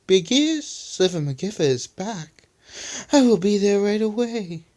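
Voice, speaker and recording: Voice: mid-range voice, sing-song, measured pace, a little mellow, voice pushed up. A reader voicing an evil hypnotizing villain girl who sneers and gloats. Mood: gloating.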